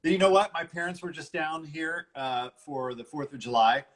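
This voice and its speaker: A man's voice: a little high, moderate pace, fairly bright tone. A middle-aged man hosting a casual live interview over a video call, talking in an informal, chummy way. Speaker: interview show host.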